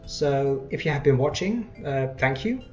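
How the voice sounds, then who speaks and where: Casual gaming commentator, medium pitch, halting delivery, music underneath. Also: animated, a little mellow, highs cut off. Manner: hesitant, halting. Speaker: let's player. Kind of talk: casual game commentary with read-out dialogue. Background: game music.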